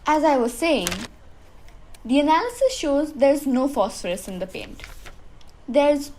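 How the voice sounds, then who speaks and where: Young woman as a brisk, high-voiced dismissive clerk. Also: expressive, darkish tone, slight background noise. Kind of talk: high school radio drama acting.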